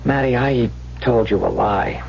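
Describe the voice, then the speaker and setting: A halting small-time swindler, muffled radio. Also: male voice, medium pitch, creaky, a little mellow. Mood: bitter confessing. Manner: halting, repetitive, colloquial street talk. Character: small-time swindler pleading with his lonely sweetheart. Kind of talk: old-time radio drama acting. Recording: dull, hissy vintage radio recording with hum.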